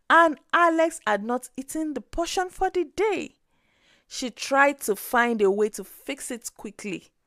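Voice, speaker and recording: Female storyteller, dramatic, highish pitch, unhurried pace, scripted narration. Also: no echo, clean studio sound.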